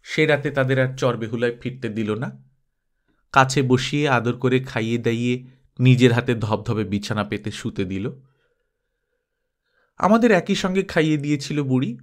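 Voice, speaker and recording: A man's voice: medium pitch, normal speed, long pauses. Man narrating a story expressively.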